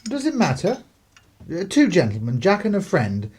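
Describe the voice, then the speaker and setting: Middle-aged English clergyman father, played by a man, stern and pompous, formal delivery, lively intonation, for period radio drama. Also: sits high, normal speed, slight background noise. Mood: pleased. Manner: formal, disapproving. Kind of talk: radio play acting.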